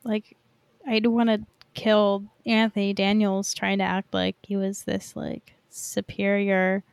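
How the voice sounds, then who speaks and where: A woman co-hosting a podcast in relaxed, informal conversation. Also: mid-range voice, slow pace, gravelly, clear, resonant tone, dry recording. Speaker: podcast co-host. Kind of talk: casual chat.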